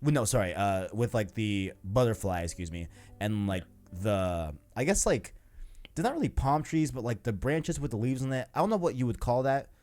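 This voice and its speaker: A man's voice: medium pitch, expressive, normal speed, a little mellow. A man chatting informally and ramblingly as host of a gaming podcast, with slangy asides. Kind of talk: casual gaming podcast chat.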